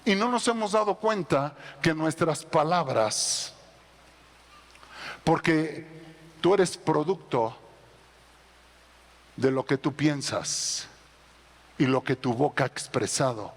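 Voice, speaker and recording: A middle-aged male pastor preaching a sermon, building to loud emphatic peaks. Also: fairly high pitch, lively intonation, slow pace, long pauses, big-room sound. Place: church.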